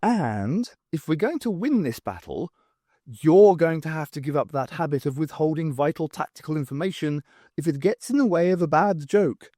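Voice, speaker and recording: A brisk, wry heroine who takes charge, acted by a male narrator. She is decisive, stern and a little theatrical, with highly animated intonation in a dry storybook reading. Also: no echo. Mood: brisk command. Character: brisk, bossy adventurer woman, wry and quick-witted.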